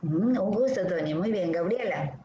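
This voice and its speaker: A woman from Guayaquil, a lecturer briskly calling the roll in an online class over a video call. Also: lowish voice, animated, mellow. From Guayaquil, Ecuador. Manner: brisk, friendly, calling names. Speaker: dermatology lecturer. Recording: echoey dull video-call audio with faint hiss.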